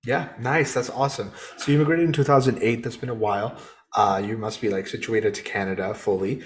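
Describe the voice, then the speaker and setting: A young adult man hosting a podcast interview in a relaxed, casual way, with frequent fillers. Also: on the low side, a little fast. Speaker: podcast host.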